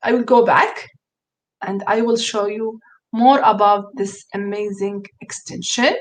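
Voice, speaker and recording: A woman's voice: medium pitch, steady tone, unhurried, rough recording. A woman whose first language is Arabic, presenting a screen-share tutorial to teachers, hesitant, with many fillers and restarts, and enthusiastic.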